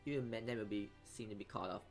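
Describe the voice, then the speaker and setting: A man's voice: fairly low pitch, fairly quick, creaky. A man reading visual novel narration aloud as a let's player, often stumbling over words. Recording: game music behind voice. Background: game music.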